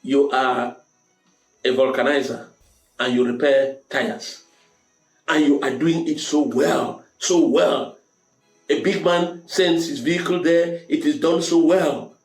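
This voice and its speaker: A man's voice: on the high side, fairly even intonation, slow pace. A man with a Cameroonian accent hosting a motivational advice talk, speaking directly to listeners in an emphatic, avuncular way and repeating key phrases. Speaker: storytelling show host giving advice. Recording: echoey room with music underneath. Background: music.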